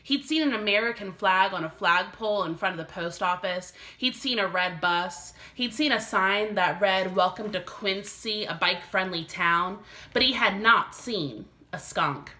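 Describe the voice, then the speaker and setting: Bright-voiced woman reading a children's story. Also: medium pitch, moderate pace, few pauses. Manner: careful, expressive phrasing for children. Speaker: elementary teacher reading aloud. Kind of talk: expressive scripted storybook narration.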